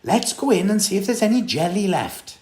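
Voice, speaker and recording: A man's voice: high voice, sing-song, fairly quick, a little mellow, roomy sound, pitched above the performer's own voice. A man voicing a bold, eager young boy in a story, with emphatic delivery. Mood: hopeful.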